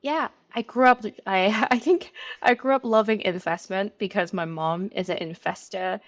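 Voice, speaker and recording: A woman's voice: mid-range voice, normal speed, no echo. A middle-aged woman from Hong Kong who is a venture capital partner, answering interview questions in a chatty way with many "you know"s.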